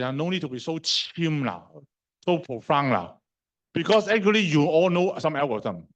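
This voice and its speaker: A middle-aged man from Hong Kong with a Hong Kong accent, giving a live university lecture in a conversational way, asking the class questions and restarting his phrases. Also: sits high. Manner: conversational, fast and slow in turns, asks the class questions; non-native grammar, fillers and restarts.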